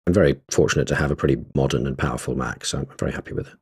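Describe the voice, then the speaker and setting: A man co-hosting a podcast in casual conversation about tech, agreeable but measured in how he puts things. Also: very deep voice, steady tone, a little mellow, slightly rough. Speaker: podcast co-host.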